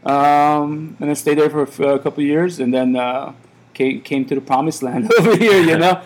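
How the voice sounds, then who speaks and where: A man's voice: a little high, moderate pace. A man in his thirties, born in Iran, who runs a café, chatting casually in an interview and rambling with lots of fillers and restarts. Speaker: café owner.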